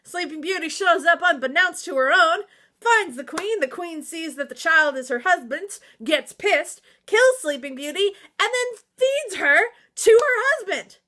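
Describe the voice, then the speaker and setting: A woman chatting casually to viewers as a book reviewer, excitable, emphatic and slangy, with theatrical asides and exclamations. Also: extremely high pitch, a little slow. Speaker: book reviewer and vlog host. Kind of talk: casual, opinionated book recommendation chat to viewers.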